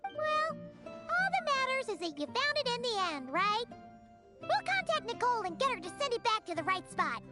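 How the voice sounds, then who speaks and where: Tiny squeaky cheerful fairy companion. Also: female voice, sing-song, normal speed, over loud music. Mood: upbeat, reassuring. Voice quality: squeaky, childlike. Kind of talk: scripted video game dialogue.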